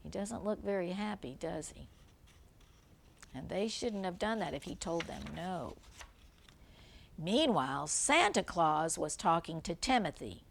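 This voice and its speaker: A woman's voice: fairly low pitch, animated, a little slow, creaky, pauses often, a little hiss or hum. An older woman reading a children's picture book aloud as a grandmother would, stopping to point things out simply. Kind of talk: read-aloud storybook narration with asides about the pictures.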